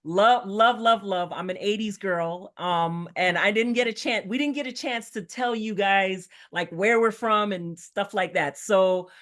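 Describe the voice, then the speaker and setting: A middle-aged woman who was born in Jamaica and grew up in the United States. She talks as a real estate coach on a video call. She is chatty and rambling, and her voice sits at an ordinary mid pitch. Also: a little slow, few pauses, fairly bright tone. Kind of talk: casual webinar talk.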